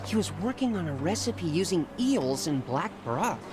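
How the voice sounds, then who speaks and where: An actor playing an eager, chatty teenage Spartan younger brother in a video game, excitable and informal, over background music. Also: male voice, on the high side, moderate pace. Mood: eager, awkward.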